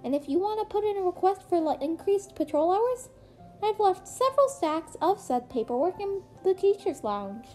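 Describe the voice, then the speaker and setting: A woman's voice: extremely high pitch, very animated, moderate pace, warm tone, soft background music, pitched above the performer's own voice. A woman voicing a small animal school principal in a story, protective and commanding, with emphatic, formal delivery. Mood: fiercely protective.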